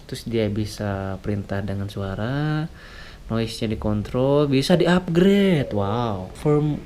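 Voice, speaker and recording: A man's voice: medium pitch, lively intonation, takes it slowly, warm tone. A man reviewing products in a casual, jokey, unscripted way on a noisy, clicky recording. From West Java, Indonesia. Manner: jokey, chuckles often, colloquial.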